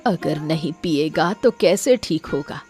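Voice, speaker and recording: Woman playing a low-voiced caring old grandmother. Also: lively intonation, unhurried, darkish tone, soft background music. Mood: coaxing, tender. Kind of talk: Hindi cartoon story dialogue.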